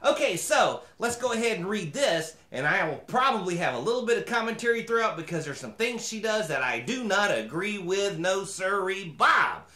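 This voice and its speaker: A man's voice: high-pitched, sing-song, normal speed, hardly any pauses, pushed and bright, echoey room, exaggerated voice. A man voicing a chatty, opinionated mule who reads a storybook with folksy, disapproving asides. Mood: chatty, eager. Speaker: host reading a storybook. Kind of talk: animated storybook reading with comic commentary.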